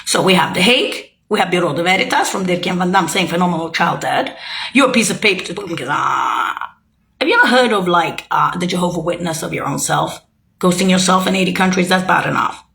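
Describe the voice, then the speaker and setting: Heated woman with a gravelly, bright voice. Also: on the low side, expressive, a little fast. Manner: heated, profane, accusing; loose, jumping from thought to thought. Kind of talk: rambling monologue to camera.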